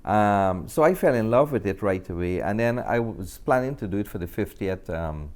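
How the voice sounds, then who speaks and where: A man with a deep voice and a Guyanese accent talks as an interview guest. He is a middle-aged actor and director, answering in a conversational, storytelling way. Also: lively intonation, normal speed, darkish tone.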